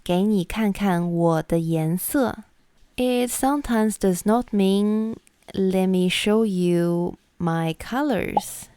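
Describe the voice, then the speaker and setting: Young woman, mandarin chinese accent, talks slow n careful, repeats words for learners, dry close sound. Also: mid-range voice, dark tone, no echo. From China. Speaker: language teacher. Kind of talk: scripted explainer lesson.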